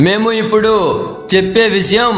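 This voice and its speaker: A man's voice: sits high, little pitch movement, normal speed, a bit bright. A man voicing a proud, anxious king in a moral story cartoon, formal and commanding, in short phrases. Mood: stern, threatening. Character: proud, anxious, threatening king of a small realm. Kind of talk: animated moral story character dialogue. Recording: echoey, background music.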